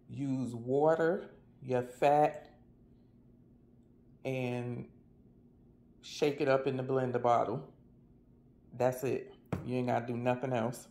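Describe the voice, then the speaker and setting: Gravelly, even-toned American man. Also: medium pitch. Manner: folksy, colloquial. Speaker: vlogger reviewing meal products. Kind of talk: casual product review chat.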